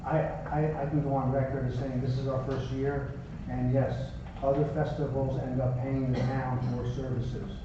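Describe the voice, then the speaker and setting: A man speaking as a festival organizer at a town meeting, defensive and halting. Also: mid-range voice, little pitch movement, background noise. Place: town office meeting room. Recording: echoey hall, distant mic, dull.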